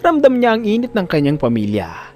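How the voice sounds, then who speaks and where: A man narrating a scripted drama story in an expressive, emphatic storyteller's delivery. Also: high-pitched, brisk, faint music behind.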